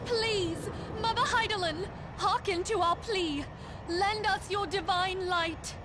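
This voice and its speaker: A very high-voiced earnest heroine mage. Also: female voice, slow pace, noisy background. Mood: desperate. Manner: intense, pleading, formal diction. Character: earnest, urgent young heroine mage, devout and commanding. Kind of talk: dramatic fantasy video game acting.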